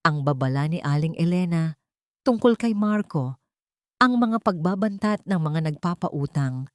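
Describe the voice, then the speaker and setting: A woman's voice: on the low side, animated, a little slow, no room sound, clean studio sound. A woman reads a scripted dramatic story as its narrator.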